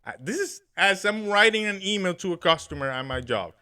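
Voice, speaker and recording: A young man co-hosting a podcast, telling a dream story in an animated way, laughing and acting out what people said. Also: high-pitched, brisk. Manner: animated, laughing, acts out quotes. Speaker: podcast co-host. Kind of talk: casual chat, storytelling.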